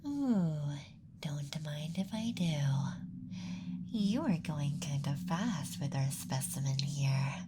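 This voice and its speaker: A man playing a playful, eager young alien assistant in an ASMR roleplay, whispering in a gentle, coaxing way close to the microphone. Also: a little high, animated, takes it slowly, noisy background. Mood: delighted, gentle.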